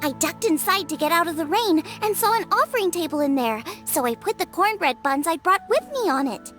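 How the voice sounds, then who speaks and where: A woman's voice: very high-pitched, animated, brisk, fairly bright tone, faint music behind. A woman voicing a cheerful, friendly teenage girl cook in a game, chatty and eager. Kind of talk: scripted game voice acting.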